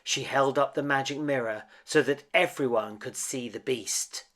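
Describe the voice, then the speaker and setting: A man's voice: mid-range voice, normal speed, fairly bright tone. A man reading a children's picture book aloud as a dramatic storyteller. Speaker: storybook reader.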